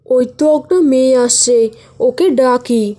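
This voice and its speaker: A performer voicing a greedy male kidnapper in an animated moral story, wheedling and blustering. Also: sits high, a little slow. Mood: anxious, scheming. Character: scheming male child kidnapper, greedy, menacing.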